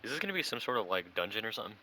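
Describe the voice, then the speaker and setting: Low-voiced, fast-talking young man gaming. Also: big pitch swings, sharp tone. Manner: rambling, thinking aloud. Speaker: gamer. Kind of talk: casual gameplay commentary. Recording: noisy home recording.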